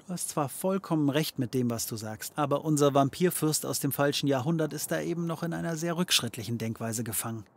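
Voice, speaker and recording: An actor playing a cocky, scheming occult detective in a comedy audio drama, wry and theatrical. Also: male voice, on the high side, fairly quick, gravelly, hardly any pauses. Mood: sardonic, patronising. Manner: wry, theatrical, mock-patronising.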